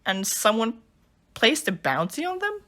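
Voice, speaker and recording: A woman's voice: a little high, huge pitch swings, measured pace, sharp tone, heavily acted. A woman playing a chatty, welcoming, supportive journalist friend in an amateur audio drama. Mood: intrigued.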